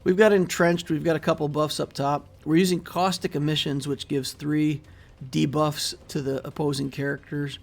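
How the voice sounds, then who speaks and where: A middle-aged man talks through his mobile game progress in an easygoing, rambling way, often pausing on fillers. His voice sits a bit high and has a gravelly edge. He is recorded at his desk in a small room. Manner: relaxed, steady walkthrough with frequent fillers and trailing off. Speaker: mobile game channel host. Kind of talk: casual gameplay commentary.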